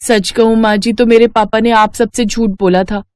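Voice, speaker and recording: A woman's voice: fairly high pitch, flat delivery, normal speed, a little mellow, no room sound, close to an everyday voice. A woman voicing a hapless young daughter-in-law, anxious and complaining, in a cartoon story. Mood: confessing. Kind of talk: Hindi cartoon story dialogue.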